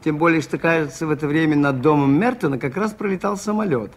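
A man's voice: on the high side, fast, lightly acted. A man playing a mild, shrewd priest detective in a radio play, speaking politely and hesitantly as he muses. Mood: musing, curious. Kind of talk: 1980s radio play acting.

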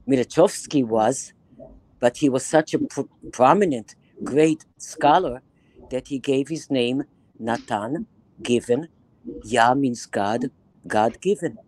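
A woman in her eighties from Israel with a Bronx accent, lecturing a reading group over a video call in an animated, emphatic way, repeating phrases for effect. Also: rumbling low, takes it slowly, no echo, poor audio. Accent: Bronx New York. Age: 80s. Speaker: guest lecturer. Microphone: webcam on video call, close to the face.

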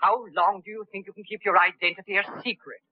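Loyal butler, slightly high voice, tinny old film sound, very dry. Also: male voice, fairly even intonation, fast talker, no echo. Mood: earnest. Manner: polite, formal, keeps saying sir. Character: deferential loyal male manservant, middle-aged. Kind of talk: 1930s film acting.

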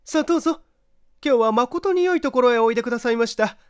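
Eager polite housewife, played by a male narrator, high raised voice, effusive, storybook reading. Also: expressive, fast talker, smooth, no echo, clean studio sound. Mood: eager, welcoming.

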